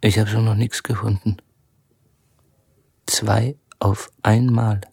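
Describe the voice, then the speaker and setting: An actor playing a poor, harried soldier and father in a radio drama, speaking in a halting, brooding way. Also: on the low side, level tone, gravelly, dark tone, breathy edge, no room sound, clean studio sound, exaggerated voice. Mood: suspicious.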